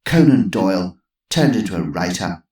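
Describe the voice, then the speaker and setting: A man's voice: mid-range voice, lively intonation, moderate pace, darkish tone, pitched above the performer's own voice, lightly acted. A man voicing a sly old woman who talks in a dry, knowing, tart way. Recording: roomy echo.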